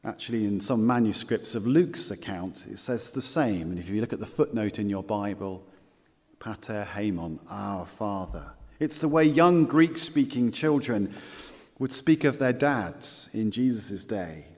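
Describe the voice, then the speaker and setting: A man preaching a sermon as pastor, earnest and measured, repeating key phrases and slowing down for scripture quotes. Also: on the low side, lively intonation, darkish tone, in a large hall.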